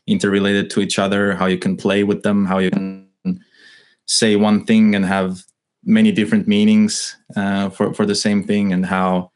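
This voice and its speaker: Slow, halting low-voiced man from Estonia. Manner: halting, many restarts and fillers, thoughtful. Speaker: guest, writer and musician. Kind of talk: casual interview over a call.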